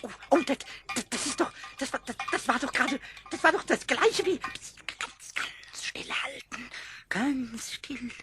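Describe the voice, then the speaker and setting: A man voicing a small, boastful, childlike kobold in a children's audio drama, squeaky and stammering with excitement. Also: unhurried, over music. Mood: puzzled, curious. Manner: stammering with excitement, repeating words.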